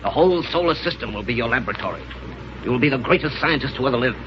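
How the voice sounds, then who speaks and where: An actor playing a ruthless, scheming space villain in 1930s radio serial style, menacing, smug and commanding. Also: male voice, mid-range voice, lively intonation, rapid-fire, lightly acted. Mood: persuasive, blunt. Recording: noisy, muffled old radio recording.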